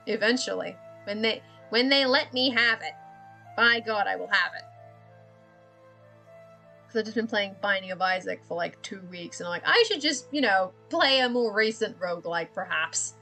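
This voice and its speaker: A woman's voice: medium pitch, lively intonation, a little slow, sharp tone, faint music behind. A young woman from Australia with a slightly American-drifting Australian accent, chatting casually and rambling with self-deprecating laughs while drawing on a live stream.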